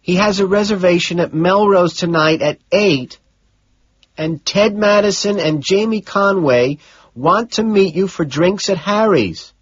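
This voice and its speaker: A soft, breathy young secretary woman, as a male narrator puts her on, pitched way up high above his own voice. She sounds hesitant and apologetic, tripping over her words. The recording is a little muffled. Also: unhurried, no echo. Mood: worried. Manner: hesitant, apologetic, repeats herself.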